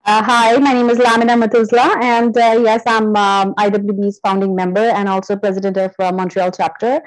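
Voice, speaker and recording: A woman's voice: medium pitch, fairly even intonation, a little fast, dry recording, rough recording. Hesitant woman introducing herself dryly. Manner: hesitant, many fillers. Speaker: company founder and chapter president. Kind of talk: self-introduction in an online meeting. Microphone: video call.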